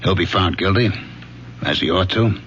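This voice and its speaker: Bright, forceful veteran police lieutenant. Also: male voice, low voice, normal speed. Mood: weary, fatherly. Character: weary, gruff veteran police lieutenant, fatherly, wry. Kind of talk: radio drama acting. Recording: dull, noisy old radio broadcast.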